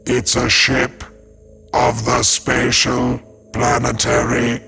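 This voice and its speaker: Slow gravelly robot butler, dead flat, music under it. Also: male voice, mid-range voice. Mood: dutiful. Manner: flat clipped monotone reports. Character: stiff robotic male ship servant.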